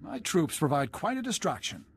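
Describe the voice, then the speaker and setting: An actor playing a brash, confident army colonel in a video game, bold and self-assured. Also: male voice, fairly high pitch, big pitch swings, moderate pace, gravelly, slightly rough, exaggerated voice. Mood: cocksure. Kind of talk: video game cutscene acting. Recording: music behind the voice.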